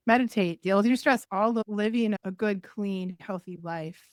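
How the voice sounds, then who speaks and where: Female guest from New England, mid-pitched, dry sound, easy advice-giving tone. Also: dry recording. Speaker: podcast guest, energy healer. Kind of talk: casual interview chat.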